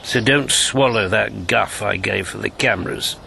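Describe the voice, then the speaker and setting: An actor playing a roguish, haunted old gentleman in a radio drama, with a gravelly voice and genteel old-fashioned slang. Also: fairly low pitch, normal speed, a little hiss or hum, full character voice. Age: older. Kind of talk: broadcast radio drama acting.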